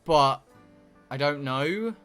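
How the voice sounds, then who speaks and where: A man's voice: fairly high pitch, animated, slow, a bit bright, clean tone, faint music behind. A man from England with a southern English accent, chatting casually and bluntly on a livestream, rambling and swearing freely. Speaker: game streamer.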